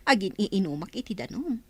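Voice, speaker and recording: A woman's voice: mid-range voice, sing-song, unhurried, breathy edge. A woman acting a young, scheming village houseguest in a radio drama, sly and confiding, with an Ilocano accent. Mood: sneaky.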